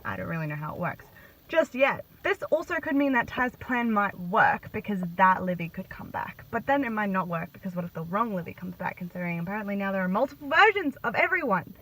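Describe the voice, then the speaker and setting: A woman's voice: medium pitch, a bit bright. A woman giving a casual book reaction in gushing, slangy fan talk with sarcastic asides. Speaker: book reviewer vlogger. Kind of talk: casual reaction commentary, retelling a novel. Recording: noisy, glitchy amateur phone audio.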